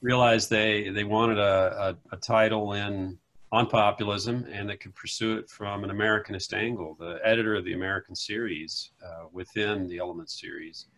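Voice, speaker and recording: A man's voice: on the low side, fairly even intonation, moderate pace, fairly bright tone. A man in his forties, a political scientist, explaining his research in a casual, thoughtful way on a video call. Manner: thoughtful, explanatory, with "you know" asides. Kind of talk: casual academic conversation. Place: home room.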